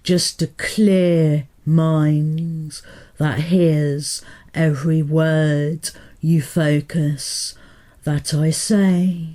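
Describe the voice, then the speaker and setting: A woman hypnotherapist guiding a meditation in a soothing, deliberate manner, pausing between short phrases. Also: low-pitched, steady tone, mellow. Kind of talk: guided meditation hypnotherapy narration.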